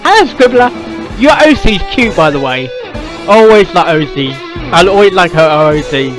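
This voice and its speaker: Animated woman giving casual reactions. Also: fairly low pitch, normal speed, over music. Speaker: reaction video host.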